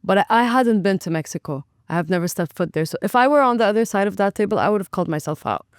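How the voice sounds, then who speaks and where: A young woman from Lebanon who owns a restaurant, talking as a guest in a chatty, animated way and acting out her own past thoughts. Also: mid-range voice, fast, no echo, studio quality. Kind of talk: casual interview.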